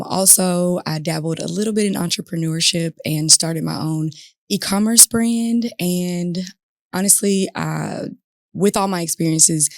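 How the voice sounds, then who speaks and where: Low-voiced woman, earnest podcast guest. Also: dry recording, studio quality. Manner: earnest, with frequent ums and uhs. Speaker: guest, business development manager. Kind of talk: casual podcast conversation.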